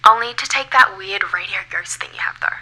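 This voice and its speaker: A woman playing a ghostly woman in an audio drama, relieved to be heard, brisk and blunt. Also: mid-range voice, lively intonation, sharp tone, breathy edge, exaggerated voice, rough recording. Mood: wry, businesslike. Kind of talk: audio fiction acting.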